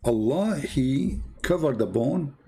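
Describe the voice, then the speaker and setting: A man's voice: mid-range voice, lively intonation, takes it slowly, dark tone, breathy edge. A man who speaks English as a second language, giving an informal explanatory talk in a didactic, emphatic way, repeating his phrases. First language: not English. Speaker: religious commentator, show host. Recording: shortwave radio talk.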